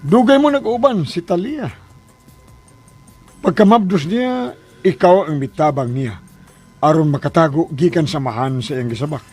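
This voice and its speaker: A man's voice: a little high, moderate pace, long pauses, darkish tone, faint music behind, natural voice. A man acting in a Philippine radio drama as an older father-in-law, questioning insistently in a Filipino accent and standing up for his son. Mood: probing, stern.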